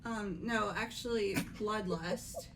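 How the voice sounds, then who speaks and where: A woman's voice: mid-range voice, slow pace, fairly bright tone. A woman voicing an earnest, disbelieving teenage schoolgirl in a casual, conversational amateur game dub. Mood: doubtful. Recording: noticeable background noise.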